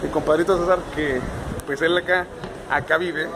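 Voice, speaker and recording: A man's voice: a little high, fairly even intonation, normal speed, crowd noise. A young man, chatting cheerfully to a phone camera as a travel vlogger. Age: young adult. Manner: cheerful, chatty, keeps saying "compadre". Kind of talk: casual travel vlog. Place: city streets, car and airport, in and out of doors. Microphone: phone camera at arm's length.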